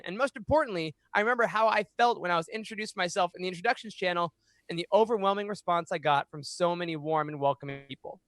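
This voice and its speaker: A man in his thirties hosting a fan podcast, speaking in an animated, emphatic way into a close microphone. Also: high-pitched, dry recording. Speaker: podcast host. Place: small home room, indoors. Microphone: close studio microphone on boom arm.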